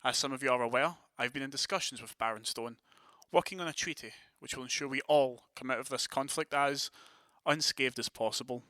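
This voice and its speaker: A man's voice: mid-range voice, brisk, sharp tone, no room sound, lightly acted. A man playing a commanding, decisive vampire prince in an audio drama, with a formal, authoritative manner.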